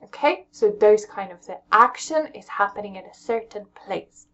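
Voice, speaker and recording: A woman's voice: medium pitch, expressive, normal speed, pushed and bright, dull audio. A young woman with an English accent, patiently teaching a language lesson at home and checking in with her viewers as she explains. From England.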